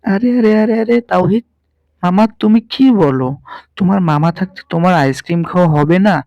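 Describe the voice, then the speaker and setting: A man's voice: sits high, lively intonation, fairly quick, a little mellow, dry recording, highs cut off. A man voicing a young uncle in a cartoon, scolding and impatient. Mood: flustered. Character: young uncle, annoyed then flustered.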